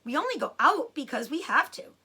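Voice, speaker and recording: A woman's voice: sits high, very animated, brisk, sharp tone, voice pushed up, heavily acted. A woman voicing a jubilant, proud male fox giving rousing speeches in a children's storybook. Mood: persuasive. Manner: rousing, declamatory.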